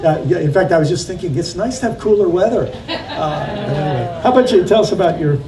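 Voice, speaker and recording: A middle-aged man moderating a panel, halting and self-deprecating, with many fillers. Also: high-pitched, lively intonation. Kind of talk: panel discussion. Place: crowded covered outdoor pavilion. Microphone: stand mic, off-mic at times. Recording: roomy, distant, noisy crowd room.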